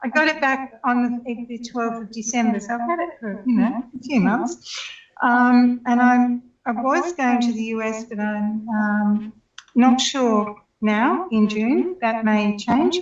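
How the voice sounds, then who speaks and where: An older woman co-host talks hesitantly and wryly, pausing on ums. Her voice is a little high and sounds far from the mic in a roomy, dull recording. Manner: hesitant, full of ums, wry. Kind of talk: casual chat. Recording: distant, roomy, dull call line.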